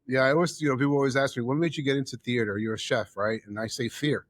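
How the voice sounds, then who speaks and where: A man's voice: mid-range voice, steady tone, fast talker, no room sound. Middle-aged man telling his story, emphatic. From the Bronx, New York. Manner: emphatic, repeats phrases for effect. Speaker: interview guest, chef and theater owner. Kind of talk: personal storytelling in an interview.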